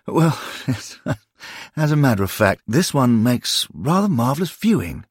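A man doing a fussy, fretful old gentleman. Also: mid-range voice, very animated, unhurried, no room sound, clean studio sound, voice pushed up. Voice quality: husky, creaky, prim and fretful.

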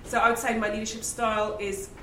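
Bright-voiced woman panellist. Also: a little high, level tone, fast, slight background noise. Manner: warm, laughing, reflective. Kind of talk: live panel discussion. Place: event venue with live audience. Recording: roomy, distant, live-event ambience.

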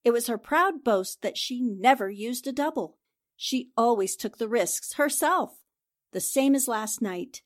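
A woman acts out a sharp female newspaper sleuth from a forties-style radio play. Her voice sits fairly high, and she lays out accusations with deliberate, confident assertion. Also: lively intonation. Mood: dramatic, insistent. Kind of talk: radio drama acting in 1940s style.

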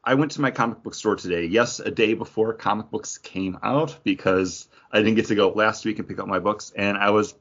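Low-voiced man chatting casually. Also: brisk, few pauses, fairly bright tone, lo-fi sound. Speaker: podcast host. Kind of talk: casual review chat.

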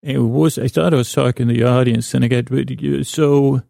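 Gravelly, monotone man rambling softly off-topic. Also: mid-range voice, no room sound. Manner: meandering, halting, self-deprecating, trailing off mid-thought. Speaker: podcast host. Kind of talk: rambling bedtime podcast intro monologue.

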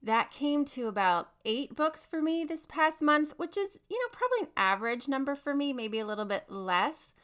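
An adult woman in her thirties hosting a podcast, chatting about books in a bubbly, enthusiastic way, her voice slightly raspy as she gets over a cold. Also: sits high, sing-song, moderate pace, few pauses, a bit bright. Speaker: podcast host. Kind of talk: casual book review chat.

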